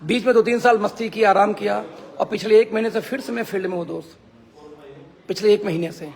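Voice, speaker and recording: A hoarse man giving an emphatic motivational talk on a live stream as a team leader, repeating phrases for emphasis. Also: high-pitched, steady tone, a little fast. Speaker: network marketing team leader.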